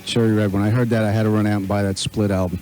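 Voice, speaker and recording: A man's voice: on the low side, little pitch movement, very fast, dark tone. An American man speaking casually as a radio show host, interviewing a guest from the studio.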